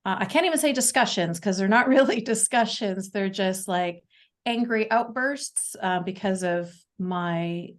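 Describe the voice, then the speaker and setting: Slow-talking Canadian woman. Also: medium pitch. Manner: halting, with many fillers and restarts. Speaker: podcast guest. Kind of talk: casual interview talk.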